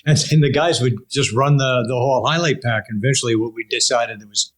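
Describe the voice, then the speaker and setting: An older man hosting a casual interview, speaking in halting, meandering phrases. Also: mid-range voice. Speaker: interview host, broadcaster.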